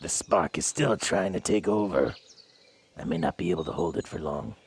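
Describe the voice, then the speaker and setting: Gravelly, proud raptor robot warrior. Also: male voice, deep voice, normal speed, exaggerated voice. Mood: urgent, resolute. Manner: haughty, solemn, disdainful declarations. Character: proud, gruff velociraptor robot warrior of honor. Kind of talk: animated-series radio drama acting.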